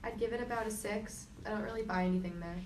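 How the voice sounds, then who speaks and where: A 22-year-old woman gives quick, matter-of-fact answers in a focus group. She is heard from a distance in an echoey room with background noise. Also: medium pitch, darkish tone.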